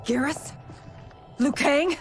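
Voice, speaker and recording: An actress playing a regal warrior woman, earnest and wistful, often breathy, in a dramatic game cutscene. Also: high voice, takes it slowly. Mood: bewildered. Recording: music behind the voice.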